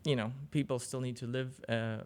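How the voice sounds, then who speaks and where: Clear-voiced man, halting interviewee. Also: medium pitch, level tone. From Germany. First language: German. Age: 40s. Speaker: interview guest, business journalist. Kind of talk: casual interview conversation.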